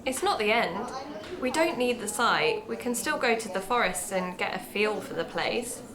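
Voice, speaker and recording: An actress playing a brisk, dry-humoured woman who makes an amateur true-crime podcast, in an audio drama. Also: medium pitch, lively intonation, a crowd in the background, close to an everyday voice. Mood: businesslike.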